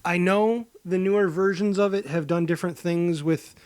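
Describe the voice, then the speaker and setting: A man's voice: high-pitched, fairly even intonation, moderate pace, a little mellow, clean tone. A man co-hosting a comedy podcast, thinking aloud tentatively in casual, joking brainstorming. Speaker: podcast co-host.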